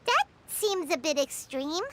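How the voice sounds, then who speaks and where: A woman voicing a tiny, chirpy, curious childlike companion in a video game, with a squeaky voice. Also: expressive, a little slow, slight background noise, exaggerated voice. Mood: curious, surprised. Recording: loud background music.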